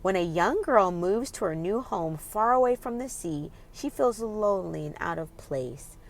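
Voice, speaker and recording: A woman's voice: on the low side, creaky, slight background noise. A woman hosting a children's read-aloud, reading expressively and putting questions directly to young listeners in an instructive way.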